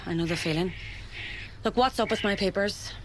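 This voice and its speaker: An actress playing a demanding, hard-edged fugitive with a terse, impatient way of talking in an audio drama. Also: female voice, medium pitch, normal speed, a little hiss or hum, natural voice, poor audio.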